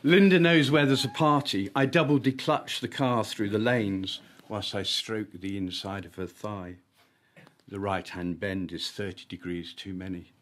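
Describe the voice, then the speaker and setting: A man with a fairly low voice reads his own short story at a live literary event. His phrasing is deliberate and emphatic, timed for wry comic effect. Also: steady tone. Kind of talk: live dramatic story reading.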